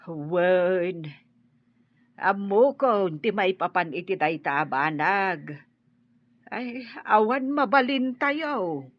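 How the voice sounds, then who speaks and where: A woman's voice: medium pitch, lively intonation, slow pace, no echo. An actress playing a worried middle-aged mother in a radio drama. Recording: muffled lo-fi audio.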